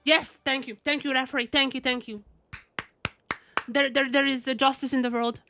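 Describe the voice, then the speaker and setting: A woman game streamer giving casual, expressive live commentary, often repeating her phrases. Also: high voice, normal speed, over music.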